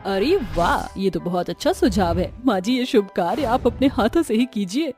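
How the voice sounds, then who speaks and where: A woman's voice: mid-range voice, animated, normal speed, darkish tone, faint music behind, lightly acted. A woman voicing a rich elder sister-in-law who mocks in a haughty way. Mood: smug. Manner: mocking, haughty. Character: rich, long-haired sister-in-law, smug.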